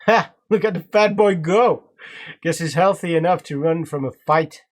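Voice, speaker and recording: A man's voice: fairly high pitch, steady tone, brisk, natural voice. A man voicing a tiny, fierce old grandmother who speaks in a coarse, blunt way. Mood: angry gruff.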